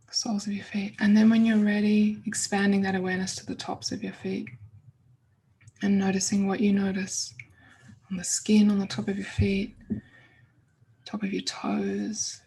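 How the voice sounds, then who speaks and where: A woman guides a meditation in an informal, soothing manner. Her voice is husky and breathy, with plenty of creak at the edges. She speaks without hurry and often lets phrases fade to a near-whisper. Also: medium pitch, dark tone, low-quality recording.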